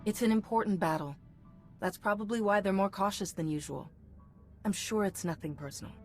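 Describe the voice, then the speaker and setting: An actress playing a composed, earnest, reassuring commander in a video game. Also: female voice, medium pitch, brisk, faint music behind, close to an everyday voice. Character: calm, reassuring female hero commander.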